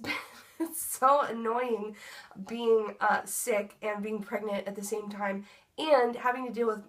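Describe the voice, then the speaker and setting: A young woman vlogging a casual update, her voice congested from a cold, chatty with many ums and likes. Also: medium pitch. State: congested, stuffy nose. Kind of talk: casual pregnancy update vlog.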